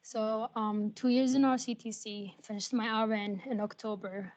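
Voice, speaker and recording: Soft-spoken woman, native Arabic speaker. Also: fairly high pitch, flat delivery, poor audio. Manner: halting, many ums, soft and earnest. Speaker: nursing graduate. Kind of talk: personal story on a video call. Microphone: video call.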